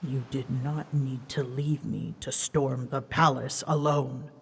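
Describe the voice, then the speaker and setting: A woman voicing an ancient, huge female dragon in a scripted roleplay drama, haughty and easily flustered, scolding her rider in formal, measured speech with hidden fondness. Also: a bass voice, steady tone, exaggerated voice. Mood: mock reproach. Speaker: voice actor. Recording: long reverb, clear background noise.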